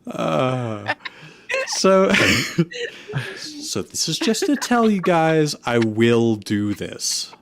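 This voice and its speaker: A man's voice: fairly high pitch, huge pitch swings, extremely slow, a bit bright. A man co-hosting a fanfiction comedy show, mixing casual chat with reading aloud.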